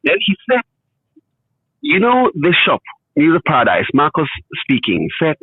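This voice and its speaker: Ghanaian guy telling his story on a tinny phone line, bit husky, really up and down voice. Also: on the high side, wildly swooping pitch, measured pace, no room sound. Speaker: interview guest. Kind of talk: storytelling in an interview. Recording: thin phone-like line, muffled detail.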